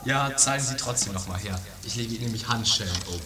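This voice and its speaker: A man's voice: mid-range voice, fast talker, very noisy, lightly acted. A man playing a stern, menacing guard in an improvised comedy radio play. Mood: officious, menacing. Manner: officious, menacing. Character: stern, officious guard arresting intruders. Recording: loud music over amateur tape.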